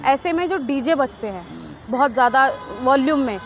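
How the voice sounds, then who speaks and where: High-pitched woman street reporter. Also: big pitch swings, normal speed, gravelly, music in the background. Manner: conversational, prompting with questions. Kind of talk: vox pop interviewing. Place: outdoors on an urban street.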